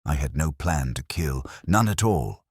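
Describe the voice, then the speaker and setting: A man's voice: rumbling low, animated, unhurried, husky, dry recording, studio quality, exaggerated voice. A man voicing a meek, frightened, ashamed young curate confessing in a mystery audiobook. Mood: fearful, pleading. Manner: fearful, confessing. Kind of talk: mystery audiobook character dialogue.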